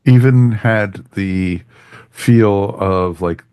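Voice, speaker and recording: Male podcast host, very low register, loose rambling conversational delivery, dry recording. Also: extremely slow, a little mellow, clear, resonant tone, dry recording. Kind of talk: casual review discussion.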